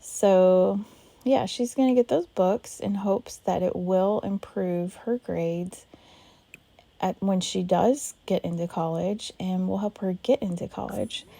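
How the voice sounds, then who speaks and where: A woman with a hoarse voice calmly narrating a life-simulation game as host of a let's play. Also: mid-range voice, slow pace, no room sound. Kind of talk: gameplay narration.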